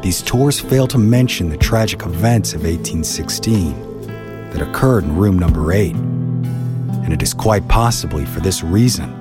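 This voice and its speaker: A man hosting a podcast, reading scripted storytelling narration. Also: sits low.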